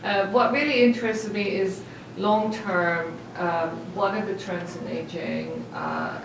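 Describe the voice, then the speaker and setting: Gravelly woman, far off in an echoey room. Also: medium pitch, animated, noisy background. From the Netherlands. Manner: halting, with many fillers and soft laughs. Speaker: new council member. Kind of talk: self-introduction at a meeting. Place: town meeting room.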